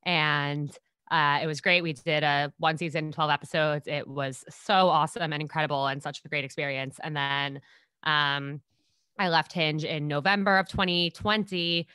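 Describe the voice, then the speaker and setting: A woman's voice: low-pitched, fairly even intonation, moderate pace, sharp tone, clear, resonant tone, no echo. A woman in her twenties from New York, a podcast guest telling stories in casual chat and acting out what people said. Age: 20s. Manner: bubbly storyteller, acts out quotes.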